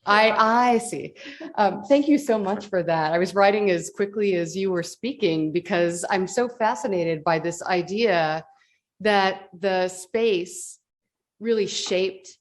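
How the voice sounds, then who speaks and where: A woman's voice: medium pitch, slow. A woman leading a conference session, asking a long, enthusiastic question full of fillers.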